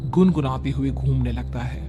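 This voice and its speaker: A man narrating a scripted horror story in a dramatic, deliberate manner. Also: medium pitch, over music.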